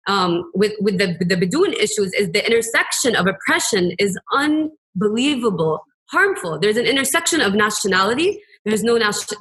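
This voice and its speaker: A woman from Kuwait presents as an academic panelist. Her voice is bright and forceful, at a middling pitch. She explains step by step, often rephrasing and qualifying what she has said.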